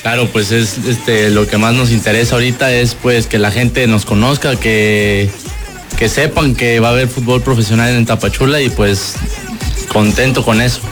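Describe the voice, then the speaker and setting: A young man answering as a guest in a casual radio interview, often pausing on filler words. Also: medium pitch, steady tone. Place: radio studio booth. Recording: clear music playing behind the voice. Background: music.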